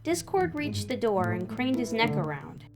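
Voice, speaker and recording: A woman reading fan fiction aloud as an expressive third-person narrator with dramatic pauses. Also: a little high. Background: background music.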